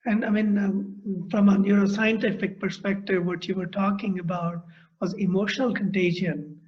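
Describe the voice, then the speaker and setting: A man's voice: high-pitched, little pitch movement. A middle-aged man with an Indian accent, interviewing a guest in a thoughtful, explanatory way over a video call from his home. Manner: thoughtful, explanatory, speaks in long measured phrases. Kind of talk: reflective conversation. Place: room at home. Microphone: laptop mic on a video call. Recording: dull lo-fi video call audio.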